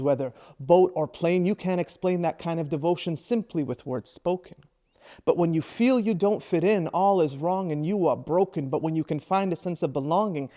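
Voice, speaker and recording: A man's voice: a little high, warm tone. A man in his thirties from Iraq, introducing himself and then performing his own spoken word poem with a rhythmic, rhyming delivery. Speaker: presenter and spoken word poet.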